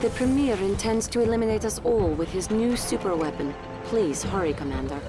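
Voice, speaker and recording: Fields. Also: female voice, medium pitch, fairly quick, music in the background, natural voice. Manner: clipped, flat status reports. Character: crisp female military computer voice. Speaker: battlefield announcer. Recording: loud game score under voice.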